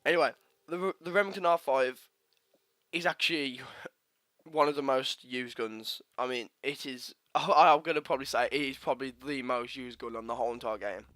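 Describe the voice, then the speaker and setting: A man's voice: mid-range voice, moderate pace, pushed and bright, no echo, studio quality. A young man with a slightly congested sore throat, casually talking through a game class setup with lots of fillers and restarts. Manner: rambling, many fillers and restarts. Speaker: gaming channel host. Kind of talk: casual gameplay commentary over menus.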